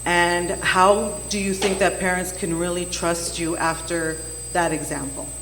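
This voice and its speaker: A woman in the audience puts a pointed question to a candidate, slowly and hesitantly, pausing with ums as she goes. The room is an echoey banquet hall with long reverb and a faint hum. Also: fairly low pitch, flat delivery, a bit bright. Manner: measured, hesitant, with frequent ums. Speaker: audience member asking a question. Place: banquet room at a community meeting.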